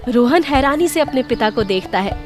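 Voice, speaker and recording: Woman story narrator, highish voice, scripted cartoon tale, music playing under her. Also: animated, moderate pace.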